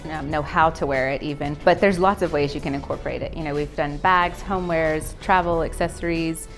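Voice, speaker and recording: A woman from Texas in her thirties, a social enterprise founder, answering interview questions in a lively, earnest storytelling way with casual fillers. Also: low voice, brisk, faint music behind. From Texas, USA.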